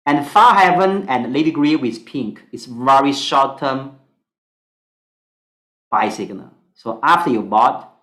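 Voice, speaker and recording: A man with a Singaporean accent, whose first language is Mandarin, teaching an online stock analysis class in halting English full of fillers. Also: medium pitch, bright, forceful tone, roomy sound.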